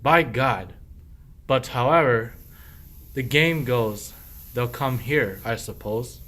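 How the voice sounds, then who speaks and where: Sly, weary henpecked husband played by a man, slow theatrical verse delivery, scheming comic rogue for radio play. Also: mid-range voice, fairly bright tone. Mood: blustering, conspiratorial. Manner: theatrical, declaiming rhymed verse. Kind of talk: verse radio play acting of a medieval comedy.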